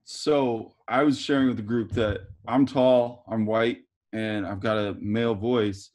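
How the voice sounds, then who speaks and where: A man with a very deep voice chatting casually on a video call from home, friendly and jokey. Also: steady tone, a little slow, a little mellow. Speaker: workshop participant. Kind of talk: casual chat. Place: room at home. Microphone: video call on a laptop.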